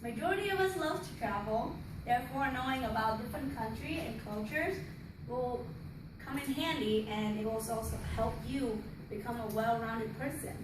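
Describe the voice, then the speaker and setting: Student, echoey classroom. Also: female voice, on the high side. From Vietnam. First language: Vietnamese. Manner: halting, non-native phrasing. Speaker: student giving a class speech. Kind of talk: informative classroom speech. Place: college classroom. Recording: echoey room, distant, noisy.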